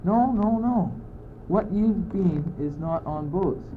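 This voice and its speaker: Casting: teenage boy as muddled, pedantic male courtier for school radio drama, highish voice, declamatory delivery, phone-like old recording. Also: expressive, slow pace. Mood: emphatic, exasperated. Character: argumentative, muddled but bossy male courtier, reasoning pedantically. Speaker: student actor. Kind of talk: amateur school radio play. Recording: muffled, tinny old amateur recording, noisy.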